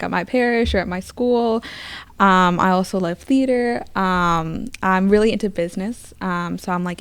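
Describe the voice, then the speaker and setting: A woman's voice: mid-range voice, clean tone, no room sound. A teenage girl answering podcast interview questions in a chatty way, with many "um" and "like" fillers. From United States. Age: teens. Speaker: guest, youth council member.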